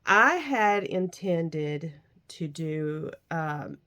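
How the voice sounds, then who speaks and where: A woman hosting a crafting vlog, talking casually as she shows her handmade journal and layouts, her voice a bit nasal and rough from a cold. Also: sits low, animated, drawn-out words. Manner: warm, gushing about handmade crafts. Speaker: crafting vlogger. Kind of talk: casual show-and-tell crafting chat.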